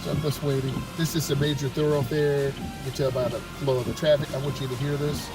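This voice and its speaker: A man with a stuffed-up cold calmly explains his driving to viewers while he drives a car in slow traffic. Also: medium pitch, recorded from a distance, poor audio. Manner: calm, unhurried, explanatory. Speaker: driving instructor. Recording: music loud behind the voice.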